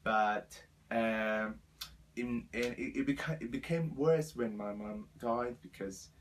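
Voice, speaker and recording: A man in his twenties from Brazil with a Brazilian Portuguese accent, speaking halting second-language English as he tells his life story to the camera with many fillers. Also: medium pitch, slight background noise. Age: 20s. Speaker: vlogger.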